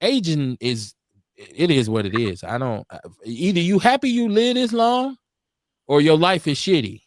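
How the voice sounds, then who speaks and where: A man in his forties, hosting a casual podcast chat in an animated, emphatic way, often restarting his sentences. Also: on the high side, moderate pace, no room sound, studio quality. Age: 40s. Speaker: podcast host.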